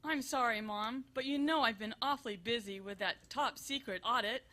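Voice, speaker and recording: A woman's voice: fairly high pitch, moderate pace, bright, forceful tone. A woman playing an earnest, busy and exasperated grown daughter in a live comedic radio show, with emphatic theatrical delivery. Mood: insistent. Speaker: stage performer in a benefit show.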